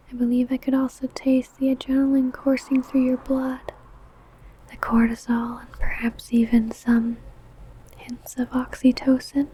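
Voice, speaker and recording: A woman playing a possessive, predatory vampire in an ASMR roleplay, in a breathy, teasing, menacing whisper. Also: high voice, warm tone, exaggerated voice, low-quality recording. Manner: slow, intimate, savouring phrasing.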